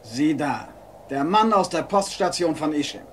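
An actor playing a cruel, gloating traitor who taunts and barks orders in a radio play. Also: male voice, on the high side, expressive, normal speed, a bit bright. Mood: sneering. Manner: sneering, taunting, barking orders. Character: gloating, cruel male traitor villain, commanding. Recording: faint background noise.

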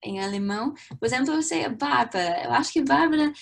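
A woman's voice: sits high, brisk, gravelly. Upbeat young woman teaching on a video call. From Latvia. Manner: lively, chatty, many fillers and restarts. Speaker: language teacher. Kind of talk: live online language lesson. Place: small room indoors. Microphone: webcam on a video call.

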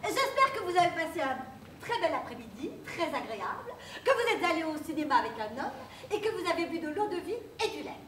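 A woman playing a cheeky, high-voiced maid. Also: very animated, brisk, very noisy. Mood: chirpy. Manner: chirpy, bossy, scolding guests. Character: flighty, cheeky young housemaid. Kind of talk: absurdist stage acting. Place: theatre stage. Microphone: far room mic. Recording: echoey stage from far off.

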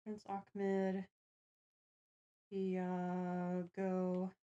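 Slow-paced woman chatting casually on stream. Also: on the low side, monotone, mellow, highs cut off. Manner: thinking aloud, deliberate. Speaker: stream host. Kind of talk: casual chat on a livestream.

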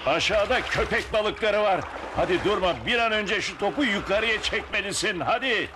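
A man's voice: high-pitched, normal speed, background noise, natural voice. A man voicing a bold, resolute sea captain in a dubbed cartoon, with a commanding manner. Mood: urgent, commanding. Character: bold heroic bearded young sea captain.

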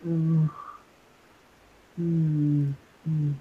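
A woman's voice: deep voice, little pitch movement, extremely slow, narrow, tinny audio, slight background noise. A woman playing a doting, needy romantic partner who coos in an amateur ASMR couple roleplay, on played-back video audio. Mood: pleading, clingy.

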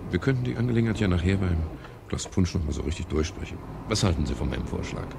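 Wry private detective for radio crime drama: very deep, creaky, laconic, chivalrous but weary. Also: male voice, big pitch swings, fast talker, heavy background noise, full character voice. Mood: wry, coaxing. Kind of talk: 1970s German radio crime drama acting and narration.

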